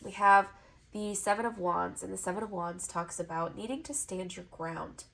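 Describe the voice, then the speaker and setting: A woman reads tarot for her viewers with a stuffy, congested nose. Her pitch sits in a mid range, and she speaks in a soft, reassuring way, stacking repeated phrases into long flowing lists as she delivers her reading straight to camera. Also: moderate pace, creaky, low-quality recording. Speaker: tarot reader.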